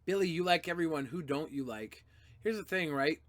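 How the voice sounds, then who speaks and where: A man's voice: fairly high pitch, animated, moderate pace. Loose, profane young man chatting live. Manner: loose and profane, swings between mumbling and loud bursts. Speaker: livestream host answering chat. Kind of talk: casual livestream chat.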